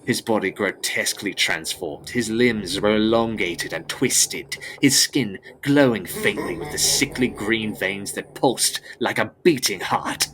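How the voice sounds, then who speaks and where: A man's voice: lowish voice, measured pace, hardly any pauses, fairly bright tone, poor audio. A man narrating a gothic audio drama as scripted, with grave, dramatic phrasing.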